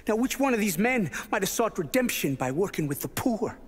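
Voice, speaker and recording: An actor playing a solemn, righteous priest who speaks like an orator in a dramatic video game. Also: male voice, high-pitched, big pitch swings, fairly quick, slightly rough, exaggerated voice. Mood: outraged. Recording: long church reverb, music behind.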